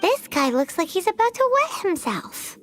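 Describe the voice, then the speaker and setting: Squeaky, extremely high-pitched little fairy. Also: female voice, big pitch swings, moderate pace, fairly bright tone, soft background music, heavily acted. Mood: curious, cheeky. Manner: squeaky, perky. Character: tiny childlike female fairy companion, cheeky and chirpy. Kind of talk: video game voice acting.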